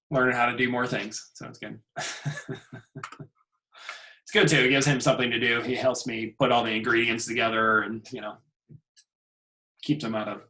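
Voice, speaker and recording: A man, an instructor, chatting casually with his students on a video call, relaxed and chuckling as he trails off. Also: medium pitch. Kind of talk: casual class meeting chat. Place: home.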